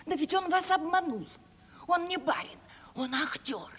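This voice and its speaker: An actress playing a sly, obsequious, gossiping maidservant with folksy phrasing in a Russian radio play. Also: female voice, very high-pitched, expressive, a little slow, a bit bright, heavily acted. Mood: tattling. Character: fawning, gossiping female servant of a lady.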